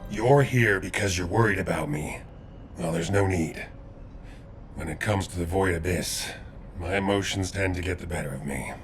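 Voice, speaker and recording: A man voicing a grave, seasoned warrior in a video game, measured, earnest and restrained. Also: deep voice, a little hiss or hum. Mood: solemn, restrained.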